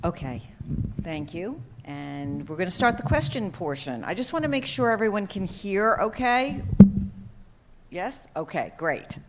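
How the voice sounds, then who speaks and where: A woman moderating a candidate forum in a brisk, loud-voiced way. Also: mid-range voice, sing-song, over loud music.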